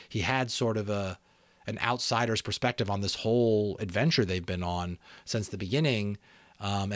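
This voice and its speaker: A man hosting an audiobook reading, giving informal, reflective commentary in a halting, thinking-aloud way. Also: on the low side, no room sound. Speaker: audiobook reader and host. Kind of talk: informal commentary and expressive story narration.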